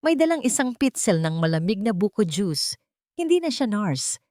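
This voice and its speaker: A woman's voice: mid-range voice, sing-song, moderate pace, a little mellow, no echo, studio quality. A woman giving an earnest, scripted dramatic narration as a sentimental storyteller. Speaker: storyteller and channel host.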